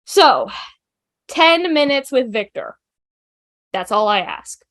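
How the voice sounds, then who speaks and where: Outspoken, nervy young female student for TV drama table read, played by a woman; very high, animated, theatrical delivery. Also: slow pace, heavily acted. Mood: impassioned. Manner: rambling, emphatic, theatrical.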